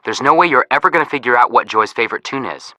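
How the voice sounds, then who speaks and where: Bright, animated young wisecracking detective. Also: male voice, medium pitch, fast, no echo. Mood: sly, eager. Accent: American. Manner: playful, wry, quippy. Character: wisecracking young man detective, eager teasing sidekick. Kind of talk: video game voice acting, phone hints.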